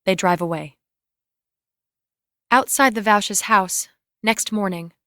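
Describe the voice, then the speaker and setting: A woman's voice: mid-range voice, slow pace, fairly bright tone, dry recording, studio quality. A woman reading scripted, screenplay-style narration and stage directions.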